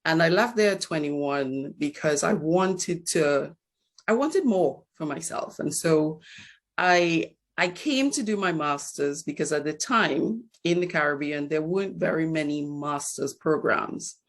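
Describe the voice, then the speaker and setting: A woman's voice: deep voice, animated, unhurried. A woman in her forties from Trinidad and Tobago, an HR executive giving a reflective personal talk at a launch event. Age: 40s. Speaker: guest speaker, HR executive.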